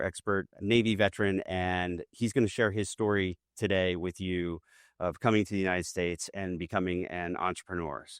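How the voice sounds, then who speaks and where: A man hosting a veteran podcast, reading a scripted intro and then asking interview questions in a steady, measured way. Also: low voice, no room sound, clean studio sound. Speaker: podcast host.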